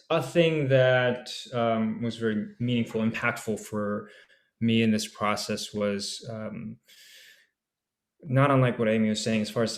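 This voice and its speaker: A man's voice: medium pitch, roomy sound. A man, an actor, speaking effusively on a video-call panel, restarting his sentences often. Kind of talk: casual reflective chat.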